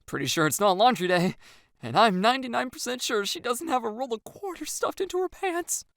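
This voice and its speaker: An audiobook narrator tells a first-person story and acts out the lines with wry expression. The voice has a noticeable creak and sits close, with no room sound around it. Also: medium pitch, animated, fairly quick, a bit bright, dry recording.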